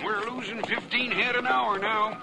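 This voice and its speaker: A high male voice as a doubting, worried American trail hand in a radio western. Heavy noise and cattle-drive sound fill the old recording. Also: fairly even intonation, moderate pace, creaky. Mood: worried, doubtful. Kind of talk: 1950s radio western acting.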